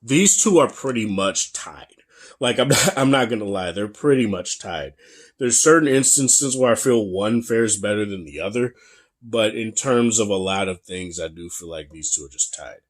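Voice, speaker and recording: A young man with a deep, bassy voice giving a casual, chatty tech review, full of fillers and restarts. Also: expressive, moderate pace. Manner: laid-back, chatty, many fillers and restarts.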